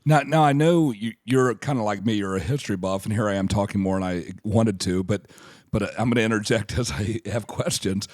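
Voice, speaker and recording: A man's voice: fairly low pitch, brisk, darkish tone, no echo, studio quality. A man co-hosting a travel podcast in casual chat, fighting a cold and losing his voice. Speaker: podcast co-host.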